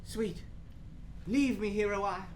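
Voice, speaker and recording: A man's voice: high-pitched, a little hiss or hum. A man playing a weary, devoted old king declaiming rhymed verse in a theatrical radio play. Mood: solemn, fading. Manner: grand, theatrical, deliberate.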